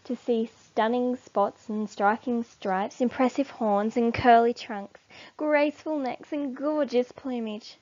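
A woman reading a children's storybook aloud expressively, with deliberate emphasis. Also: fairly high pitch, steady tone, a little mellow, lo-fi sound. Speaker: storybook reader.

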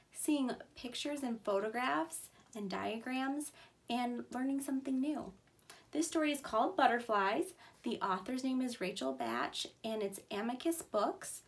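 A woman in her thirties, a preschool teacher reading aloud to young children in simple, clearly emphasized words. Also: on the high side.

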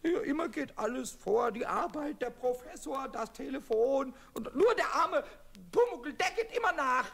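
An actor voicing a small, excitable, stubborn childlike kobold in a German children's radio play, stammering and repeating himself eagerly. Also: male voice, normal speed, hardly any pauses, heavily acted. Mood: indignant, pleading. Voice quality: high, husky, squawky. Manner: stammering repetitions, whiny and eager.

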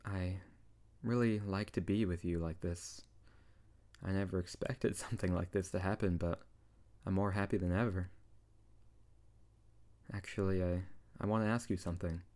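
Casting: male actor as deep-voiced wizard boyfriend, tsundere, teasing yet tender, for intimate ASMR roleplay. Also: steady tone, normal speed, long pauses, a little mellow, lightly acted. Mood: tender, reassuring.

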